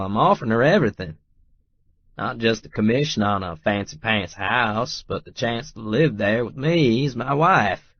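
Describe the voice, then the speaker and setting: A man's voice: lowish voice, dry recording, lo-fi sound. An actor narrating a romance audiobook as a stubborn, wry, lovestruck Texas oilman. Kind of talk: first-person audiobook narration with dialogue.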